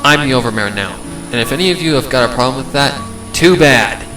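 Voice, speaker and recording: A man's voice: mid-range voice, expressive, a little fast, roomy sound, close to an everyday voice. A man voicing a grim, threatening pony rebel leader in a dramatic fan fiction reading. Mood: commanding, threatening. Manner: commanding, threatening. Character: grim young pony worker turned rebel leader, commanding. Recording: distant voice under clear background music.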